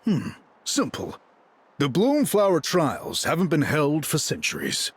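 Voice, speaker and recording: Learned, expressive game chieftain. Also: male voice, fairly high pitch, unhurried, a little hiss or hum. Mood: thoughtful, explanatory. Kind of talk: video game character acting.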